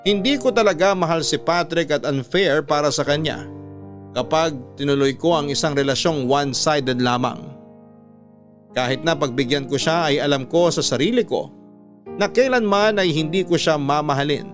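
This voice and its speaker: A man's voice: fairly high pitch, moderate pace, faint music behind. A man narrating a scripted first-person story as a radio drama narrator reading out a letter.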